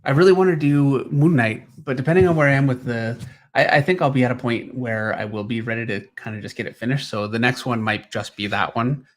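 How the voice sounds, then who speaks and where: A man's voice: medium pitch, brisk. A man hosting a drawing live stream, chatting casually and easily, often trailing off mid-thought. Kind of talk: casual unscripted chat.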